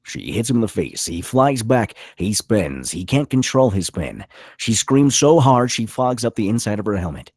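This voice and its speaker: Male narrator for a screenplay read: low husky voice, very dynamic pitch, punchy clipped delivery. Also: big pitch swings, moderate pace, darkish tone, no echo, clean studio sound. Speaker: narrator reading stage directions. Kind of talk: dramatic scripted narration.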